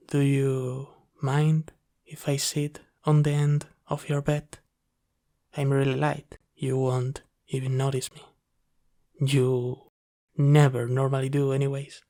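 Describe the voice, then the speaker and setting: A man playing a gentle, apologetic sleep paralysis demon in a soft, hesitant, reassuring ASMR roleplay. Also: medium pitch. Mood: apologetic, caring. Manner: soft-spoken, hesitant, reassuring, pausing often.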